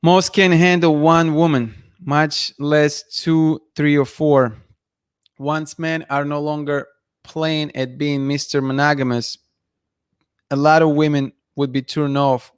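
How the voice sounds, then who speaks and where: A man in his thirties hosting a night-time livestream, bluntly giving his opinions and reading chat comments aloud, his voice going hoarse. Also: on the high side, flat delivery, slow, dry recording, clean studio sound. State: losing his voice, clearing throat. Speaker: livestream host. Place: dim room at night, indoors. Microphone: studio mic in front of him.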